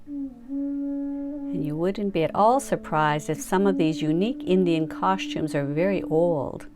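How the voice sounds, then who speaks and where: A woman leading a guided meditation, giving soft, unhurried instructions to relax and imagine. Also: lowish voice. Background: music.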